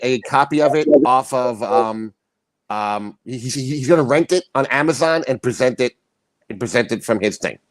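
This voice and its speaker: A man's voice: medium pitch, no echo, poor audio. A man co-hosting a casual livestream chat, rambling with many stammered restarts. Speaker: podcast co-host.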